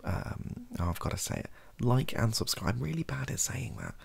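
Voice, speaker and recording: A British man in his thirties rambling softly and hesitantly in an improvised, self-deprecating ASMR style, with a gravelly, husky voice. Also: medium pitch, very animated, moderate pace. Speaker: video host, ASMR creator. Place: small bedroom office, indoors.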